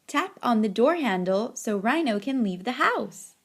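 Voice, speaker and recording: A woman's voice: on the high side, sing-song, a little slow, background noise. A woman narrating a children's read-along story, with music behind her.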